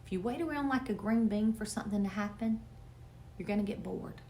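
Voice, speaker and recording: A woman's voice: mid-range voice, brisk, warm tone, a little hiss or hum, natural voice. A woman voicing a mischievous young boy with big schemes in an emphatic, theatrical storybook manner. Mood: secretive.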